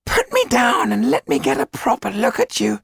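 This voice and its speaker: A man voicing a small, affectionate, excitable older man in an audiobook, with a high, husky, creaky voice and an emphatic, fussing manner. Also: normal speed, no room sound, full character voice. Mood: delighted, affectionate. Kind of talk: audiobook character acting.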